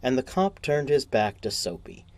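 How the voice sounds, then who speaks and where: A man reading a short story as a narrator, in deliberate, measured phrases. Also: mid-range voice, sing-song, darkish tone.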